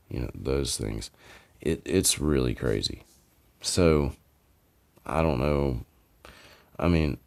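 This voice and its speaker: A man's voice: extremely low pitch, creaky, a little mellow. A man hosting a podcast, retelling stories in an informal, rambling way and often correcting himself. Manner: informal, digressive, self-correcting. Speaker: podcast host. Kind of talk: casual, rambling retelling of mysteries.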